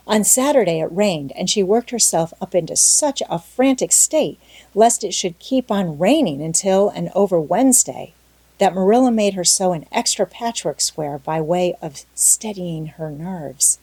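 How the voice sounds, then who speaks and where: A woman narrates an audiobook in a scripted, measured way. Her voice is slightly low and very mellow, a little husky. She reads with expression. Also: dry recording.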